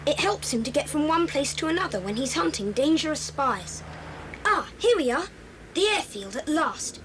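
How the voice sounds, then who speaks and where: A woman voicing a sensible, bossy young boy, brisk and authoritative, in a children's audio drama. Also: big pitch swings. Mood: brisk, reassuring. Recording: noisy cassette, vehicle rumble.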